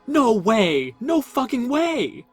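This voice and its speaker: A man's voice: very high-pitched, very animated, slow, faint music behind, pitched above the performer's own voice, heavily acted. A man voicing a startled, disbelieving young boy in a horror story, with shrieky, incredulous delivery. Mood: shocked disbelief. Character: Charlie, startled, foul-mouthed disbelieving boy. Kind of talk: horror story character reading.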